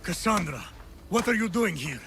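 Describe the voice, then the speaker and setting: A man's voice: sits high, very animated, moderate pace, creaky, heavily acted. An actor playing a remorseful, duty-bound older soldier, an ancient Greek father in a video game, speaking solemnly. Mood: surprised, glad. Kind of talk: video game cutscene acting. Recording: loud music under the voice. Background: loud music.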